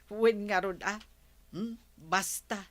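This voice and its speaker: A woman's voice: mid-range voice, drawn-out words, fairly bright tone. A woman with an Ilocano accent playing a nosy, gossiping village woman in a comedy radio drama. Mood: gossipy.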